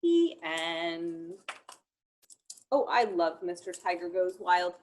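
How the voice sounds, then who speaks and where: A woman reading a picture book aloud to young children in an expressive, encouraging way, talking directly to them. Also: fairly low pitch, slow pace, slight background noise.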